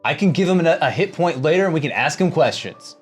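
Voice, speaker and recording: A man's voice: fairly high pitch, animated, quick pace. A man playing a tabletop roleplaying game, improvising in casual, conversational banter. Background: background music.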